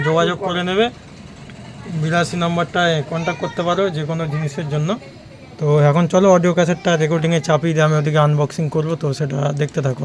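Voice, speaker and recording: A man's voice: on the high side, slight background noise. A man with a West Bengal Bengali accent giving casual, repetitive sales patter to viewers in an unboxing vlog. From West Bengal, India. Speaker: audio equipment seller and channel host.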